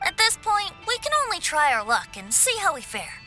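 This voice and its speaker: A lively, scholarly young woman from an anime game. Her high voice swoops expressively with a bright tone, thoughtful in delivery. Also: moderate pace, faint music behind, exaggerated voice. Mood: thoughtful, curious. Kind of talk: anime game cutscene voice acting.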